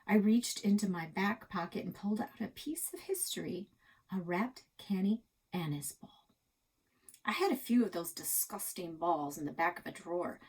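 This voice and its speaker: A woman narrating a children's chapter book aloud as a library storyteller, in an expressive style. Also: on the low side, moderate pace, breathy edge, low-quality recording.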